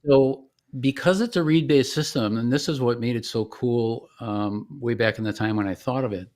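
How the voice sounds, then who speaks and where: A middle-aged man with a medium-pitched voice talks as a guest in a relaxed interview. He explains his work informally and in plain words, like a founder telling how his product came about. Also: normal speed. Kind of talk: casual interview chat.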